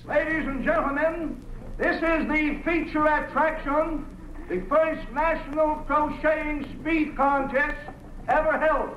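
Distant, echoey, very high male announcer. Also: fairly even intonation, a little slow, slight background noise. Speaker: event announcer. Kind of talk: slow, formal vintage radio introduction. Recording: echoey, distant, dull old radio recording.

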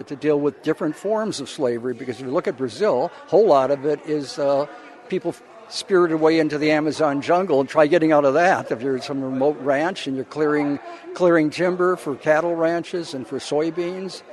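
A male college professor giving informal interview answers, explaining things in a halting, rambling way. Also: fairly high pitch, vocal fry, darkish tone.

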